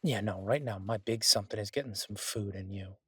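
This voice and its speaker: A man playing a devoted, easygoing husband who cooks, talking in a casual, halting, reassuring way in an intimate audio roleplay. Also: fairly low pitch, husky, no room sound, clean studio sound. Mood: caring. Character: devoted, easygoing husband and cook, gently teasing. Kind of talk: intimate one-sided audio roleplay, conversational.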